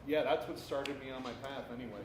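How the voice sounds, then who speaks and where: A middle-aged man who brews beer, talking casually on a panel in a halting, self-deprecating way. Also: mid-range voice, fairly even intonation. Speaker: craft brewer on a panel. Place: indoor room at a live panel event. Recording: echoey room, clearly noisy live stream.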